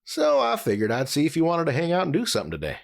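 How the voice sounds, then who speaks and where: A man plays a big, protective fellow in an audio drama. His gravelly voice swings widely in pitch, and his talk is folksy and blunt. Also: huge pitch swings, fast, exaggerated voice. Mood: friendly. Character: big, protective, tough but friendly man.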